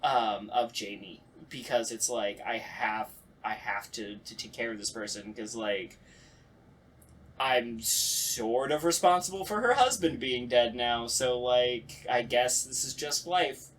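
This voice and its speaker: Male voice actor on a talkback show, medium pitch, informal, frank, self-interrupting delivery. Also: unhurried, a bit bright. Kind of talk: casual chat.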